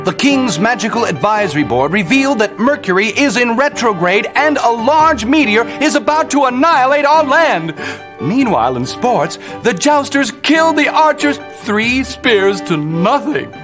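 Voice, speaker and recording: A man, playing a pompous medieval news anchor in a theatrical, deadpan way. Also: very high-pitched, moderate pace, music in the background. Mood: arch, deadpan. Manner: theatrical, deadpan newsreader delivery. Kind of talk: parody newscast in a video game.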